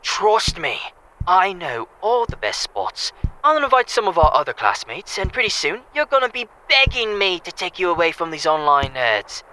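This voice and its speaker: A man's voice: high voice, very animated, moderate pace, bright, forceful tone, full character voice, poor audio. A young man playing a cocky, boisterous student wingman in an audio drama, brash, teasing and pushy. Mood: brash, persuasive.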